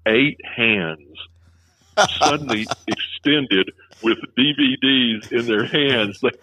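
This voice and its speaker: A man's voice: mid-range voice, takes it slowly, pushed and bright, dry recording. A man, a former film festival director, telling stories in a rambling way, full of restarts, recorded with a tinny phone-like sound. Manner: rambling, many restarts, chuckles at his own stories. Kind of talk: casual conversation.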